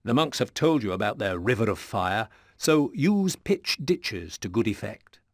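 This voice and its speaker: A man delivering measured, serious scripted narration for video game mission briefings. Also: fairly low pitch, sing-song, no room sound, studio quality. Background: music with plucked guitar.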